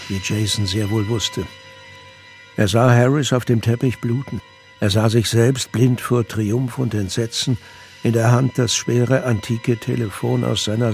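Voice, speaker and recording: A man narrating a scripted audio drama in a measured, dry storytelling manner. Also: medium pitch, a little mellow. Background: music.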